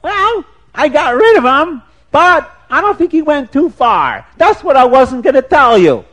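A frantic wife shrieks in a storybook tale, voiced by a man. Her pitch soars far above a normal speaking voice and swoops wildly. She drags out her words in alarm. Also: very animated, a bit bright, heavily acted. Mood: anxious. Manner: shrieking, panicky, drawn-out. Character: shrill frantic woman, a fierce man's wife.

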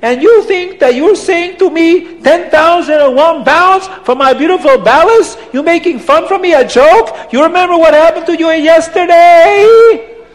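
A man voicing an angry, greedy, boastful rich seller in a children's story, blustering loudly in broken English. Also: very high-pitched, sing-song, takes it slowly, pitched above the performer's own voice, full character voice. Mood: outraged, mocking. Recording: long hall reverb.

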